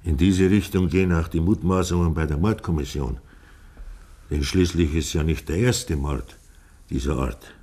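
Very deep, husky police inspector. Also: male voice, mellow, exaggerated voice. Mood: probing. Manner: formal, hesitant, measured questioning. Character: polite, hesitant male police inspector, patiently questioning. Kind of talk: 1950s German radio play acting.

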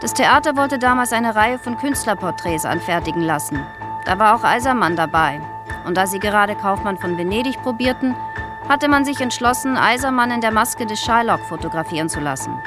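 A woman's voice: medium pitch, expressive, fairly quick, music in the background, lightly acted. An actress playing an older East German woman in a radio drama, recollecting in a chatty, self-justifying, insistent way. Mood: proud, reminiscing. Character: older East German woman, wounded, defensive photographer's granddaughter.

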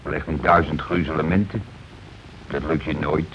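A man's voice: very deep voice, fairly even intonation, moderate pace. An actor in a radio play as a tipsy, blustering working-class husband and union man, blunt and colloquial, repeating what he hears. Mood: stunned. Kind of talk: naturalistic radio play dialogue. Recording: muffled, noisy old radio broadcast recording.

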